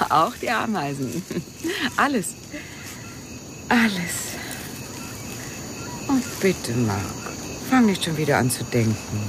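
Intense, low-voiced woman in radio drama. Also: sing-song, slow, long pauses, noisy background. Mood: tender, pleading. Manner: dramatic, breathy whispers rising to anguished outcries. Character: Janet, intense, clinging widowed lover, wounded and pleading. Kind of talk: German radio crime drama acting, 1989.

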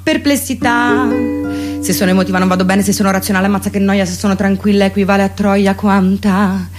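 A woman's voice: mid-range voice, fairly even intonation, brisk. A woman performing an ironic, theatrical comic musical monologue. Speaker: cabaret performer and singer. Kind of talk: comic musical monologue, half spoken and half sung. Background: acoustic guitar music.

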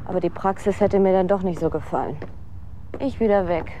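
A woman's voice: fairly low pitch, brisk, close to an everyday voice. Woman playing a bitter young actress amid street noise. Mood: bitter, deadpan. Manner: deadpan, cynical, cursing. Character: bitter, sardonic young aspiring film actress, world-weary drifter. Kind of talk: German radio drama acting. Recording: clear outdoor street and traffic noise. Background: city street ambience with vehicles.

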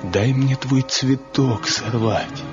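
A man's voice: mid-range voice, takes it slowly, natural voice. A man acting a tormented, jealous village craftsman in a radio drama, speaking in an emotional, lyrical and accusing manner. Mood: tender, longing. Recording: muffled 1970 radio drama recording, music behind.

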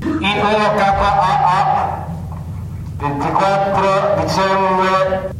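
Big brother on ham radio, highish voice, chanting announcements like a stage monologue, super echoey. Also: male voice, heavily acted. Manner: declamatory, incantatory, repetitive. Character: gruff eldest brother, amateur radio operator addressing the world. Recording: very echoey stage recording, clear background noise.

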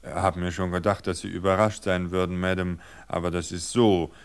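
A man's voice: low-pitched, flat delivery, normal speed, smooth. A man voicing an old village gardener, chatty and easygoing, with a folksy, opinionated, old-fashioned way of grumbling. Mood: chatty, deferential. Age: older.

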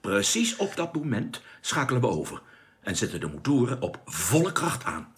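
An actor playing an anxious but commanding spaceship captain in a science fiction radio drama, giving urgent, clipped orders. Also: male voice, mid-range voice, sing-song, normal speed, a bit bright. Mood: tense, commanding.

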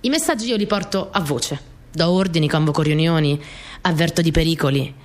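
An actress playing a spirited young wartime partisan courier girl in an expressive radio theatre monologue. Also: sits low, fairly quick, close to an everyday voice. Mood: earnest, confiding. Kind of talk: dramatic first-person monologue for radio theatre.

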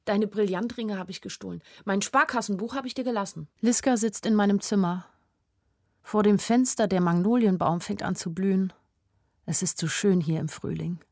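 A woman's voice: mid-range voice, moderate pace, mellow, dry recording, studio quality, natural voice. Actress as frightened, loving young woman on the run. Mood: urgent, secretive. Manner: short, simple, intense sentences. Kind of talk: radio drama, first-person inner narration.

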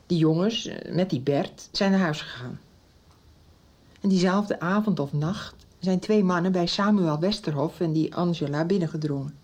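An actress playing a shaken, insistent middle-aged mother, agitated and halting, in a radio soap. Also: lowish voice, a little mellow, close to an everyday voice. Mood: shaken, anxious. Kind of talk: Dutch radio soap acting.

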